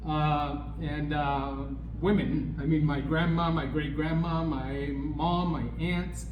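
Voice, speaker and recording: A man from El Salvador with a Salvadoran accent, co-hosting a vlog in a halting, chuckling way. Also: on the high side, steady tone, dull audio, background noise. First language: Spanish. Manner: halting, many fillers and restarts, chuckling. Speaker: co-host. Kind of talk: casual chat. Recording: echoey room with music behind.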